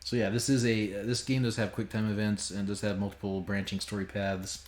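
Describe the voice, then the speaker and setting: A man's voice: on the low side, little pitch movement, a little fast, a little mellow. A man giving casual, joking game commentary as a streamer, crude, profane and sarcastic in how he talks. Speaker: streamer playing a horror visual novel.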